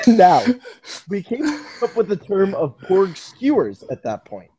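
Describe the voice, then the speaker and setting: An American man co-hosting a casual live fan podcast, talking in an excitable, joking way. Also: high-pitched, slow, breathy edge, dry recording, poor audio. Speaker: podcast co-host.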